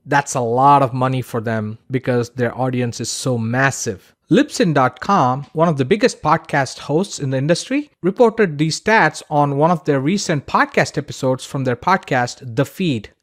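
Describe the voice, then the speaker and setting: A man's voice: medium pitch, lively intonation, normal speed, hardly any pauses. A man narrating a scripted online course as its instructor, in a conversational, emphatic, instructional way.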